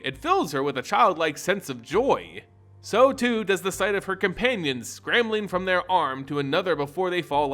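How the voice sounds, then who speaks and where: A man reading a fantasy story as an expressive, dramatic narrator with deliberate phrasing. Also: high voice.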